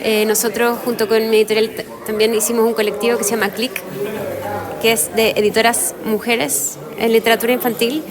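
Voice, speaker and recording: A woman's voice: a little high, flat delivery, a little fast. A woman with a Chilean accent, speaking as a guest in a casual interview and thinking aloud with frequent fillers and restarts. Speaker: guest illustrator and author. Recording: crowd chatter in the background. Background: crowd chatter.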